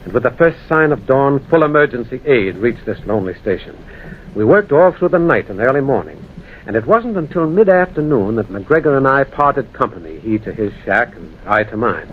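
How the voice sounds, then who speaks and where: A man's voice: mid-range voice, big pitch swings, natural voice. Man acting a sober railroad man, tinny recording. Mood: somber, ominous. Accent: American. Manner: measured, grave, dramatic. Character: railroad man narrating a ghost tale, sober, skeptical friend. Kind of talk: old-time American radio drama narration and acting. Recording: thin, noisy vintage radio recording.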